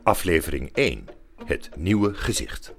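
A man acting as a clipped, deadpan announcer introducing a radio comedy in scripted narration. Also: low-pitched, a little slow, gravelly, heavily acted. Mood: deadpan, announcing. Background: music.

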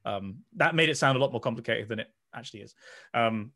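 A man's voice: medium pitch, fast, sharp tone. A man explaining science casually on an online call, digressing and reaching for analogies.

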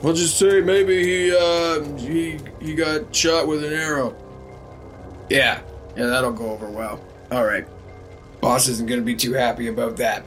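A man's voice: medium pitch, steady tone, unhurried, fairly bright tone, faint music behind, natural voice. A man voicing a flustered henchman muttering worriedly during a chase. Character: nervous henchman chasing someone through woods.

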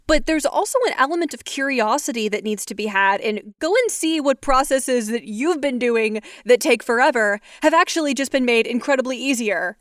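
A woman's voice: fairly high pitch, expressive, normal speed, few pauses, a bit bright, dry recording, clean studio sound. A woman hosting a podcast, asking articulate, curious questions about sound design. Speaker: podcast host interviewing guests. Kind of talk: interview questions.

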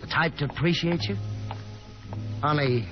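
A man's voice: a little high, measured pace, lightly acted. An actor playing an affable young working man with an American accent, stammering and eager to please in a 1950s radio drama. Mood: earnest, awkward. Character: affable, awkward young working man, earnest gentleman caller. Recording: muffled 1950s radio recording, music behind.

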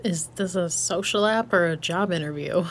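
A woman's voice: on the low side, moderate pace, natural voice. A woman playing a laid-back, blunt young hardware tech in an audio drama, casual and slangy with dry humor. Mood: wry, teasing. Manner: casual, slangy, dryly joking. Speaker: voice actor. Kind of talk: naturalistic audio drama dialogue.

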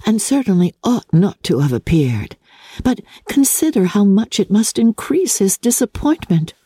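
A woman reader plays a gentle young English gentlewoman from a classic novel. She is tender-hearted and easily distressed, speaking in a soft, breathy, earnest way with very lively rises and falls. The sound is close and dry. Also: mid-range voice, normal speed, no room sound. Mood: gentle concern. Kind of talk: dramatic classic novel reading.

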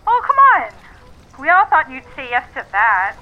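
An actress playing a brisk, persuasive businesswoman on a phone call in a naturalistic audio drama, with a businesslike, coaxing manner. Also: high-pitched, big pitch swings. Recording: dull, band-limited phone line.

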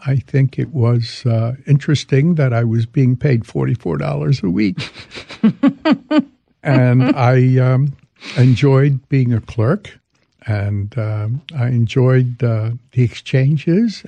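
A man in his eighties from Hungary, speaking haltingly as he reminisces as an interview guest. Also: medium pitch, creaky, dark tone, no room sound, lo-fi sound. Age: 80s. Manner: halting, hesitant delivery. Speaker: interview guest, veteran commodities exchange trader.

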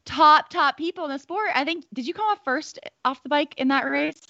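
High, bright-voiced woman interviewer. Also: brisk, creaky, dry recording. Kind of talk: casual interview chat. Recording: slightly dull, lo-fi call audio.

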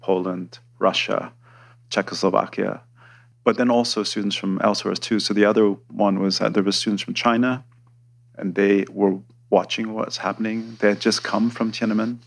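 A man's voice: fairly low pitch, steady tone, normal speed, gravelly. A man in his forties, a politics professor on a panel, recalling memories thoughtfully. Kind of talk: reflective panel talk.

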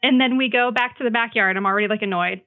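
A woman's voice: fairly high pitch, quick pace. Woman telling a story real casual, says like a lot, sounds kinda phone-like and muffled. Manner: animated retelling with acted-out quotes, frequent "like". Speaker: podcast guest.

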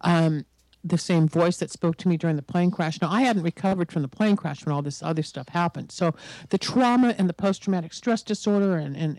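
A woman in her sixties from the United States, a guest telling her story at length in an interview, emphatic and talkative, her voice hoarse from a virus. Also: lowish voice, mellow, no echo, rough recording. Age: 60s. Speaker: guest psychic.

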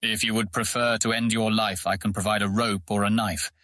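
A man's voice: lowish voice, level tone, a little fast, sharp tone, dry recording, clean studio sound. A man playing a stern, grave prison guard with a formal, commanding manner in a fantasy audiobook.

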